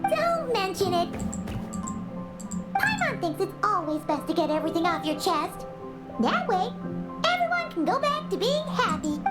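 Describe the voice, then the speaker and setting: Tiny game fairy, piercingly high, very animated, childlike, chirpy, kindly. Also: female voice, normal speed, pauses often, loud music behind the voice. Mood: cheerful, reassuring. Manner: speaks of herself in third person, bubbly. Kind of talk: scripted video game voice acting.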